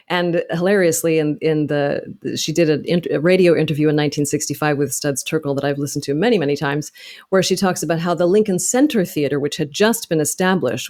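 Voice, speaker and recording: Low-voiced woman, articulate interview guest. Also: darkish tone. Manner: articulate, passionate, detailed explaining. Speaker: interview guest, actor and author. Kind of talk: conversational interview, storytelling.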